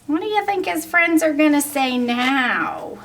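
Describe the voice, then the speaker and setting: A woman reads a children's picture book aloud in a high, swooping voice. She takes it slowly and turns to her young listeners with questions. She sounds distant from the microphone. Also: animated, a bit bright, slight background noise. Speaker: story reader.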